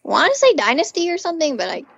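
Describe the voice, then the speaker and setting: A woman's voice: sits high, wildly swooping pitch, quick pace, creaky, a bit bright, no echo, dull audio. A woman co-hosting a podcast, recapping casually as an enthusiastic fan with rambling asides. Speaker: podcast co-host. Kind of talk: casual chatty episode recap.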